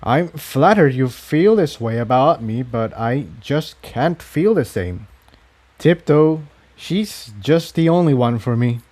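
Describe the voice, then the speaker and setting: A man voicing a kind, steady male pony and loyal friend in a storybook reading, sincere, gentle but firm. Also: medium pitch, slow. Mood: gently awkward.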